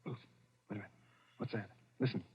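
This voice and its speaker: A man's voice: fast, lightly acted. An actor playing a desperate man on the run with lost memories, tense, insistent and clipped, in 1940s radio thriller style. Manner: tense, insistent, clipped, brooding when narrating. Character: desperate amnesiac man on the run, accused of murder. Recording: dull lo-fi vintage radio recording.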